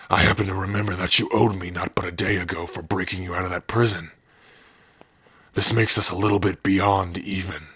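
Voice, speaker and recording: A man voicing a big, gruff but tender orc warrior in an ASMR roleplay, shy and devoted, speaking haltingly and intimately close to the mic. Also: fairly even intonation, dry recording. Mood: devoted, grateful. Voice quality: husky, breathy low voice. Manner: slow, halting, softly intimate. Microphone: close dry microphone.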